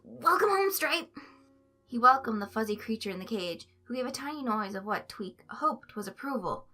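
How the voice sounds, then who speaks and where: Bright, high-voiced woman reading a story. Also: brisk, faint music behind. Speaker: story narrator. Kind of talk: expressive fan fiction reading.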